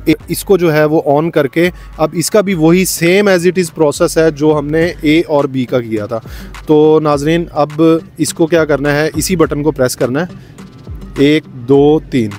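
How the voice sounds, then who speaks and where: A man's voice: a little high, steady tone, slow, a little mellow, soft background music. A man, a vlogger demonstrating a product step by step in a tutorial style.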